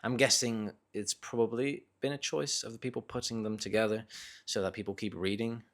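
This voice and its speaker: A man with a low voice speaks in a Northern English accent tinged with Dutch. He talks off the cuff, as in a casual solo vlog. His phrasing is reflective and hesitant, with frequent small corrections as he finds his words. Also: steady tone, a little fast, fairly bright tone. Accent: Northern English with slight Dutch. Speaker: vlogger sharing reading thoughts. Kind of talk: casual unscripted monologue.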